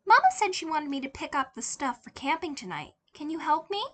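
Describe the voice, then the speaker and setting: A woman voicing a bright, polite eight-year-old boy who puts on innocence with a childish lisp. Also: high-pitched, lively intonation, brisk, voice pushed up. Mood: bright, innocent.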